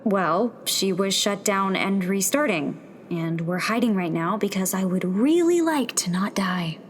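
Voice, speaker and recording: Actress as a halting, shaken young rebel woman. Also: mid-range voice, expressive, a little hiss or hum, lightly acted. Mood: uneasy. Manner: halting, stammering. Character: frightened young woman rebel tech, shaken but sardonic. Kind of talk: sci-fi audio drama acting.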